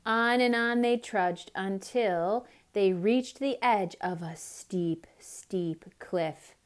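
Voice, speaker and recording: A woman reading a picture book aloud to young children as a dramatic, expressive storyteller, with explanatory asides. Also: medium pitch, takes it slowly, clean tone.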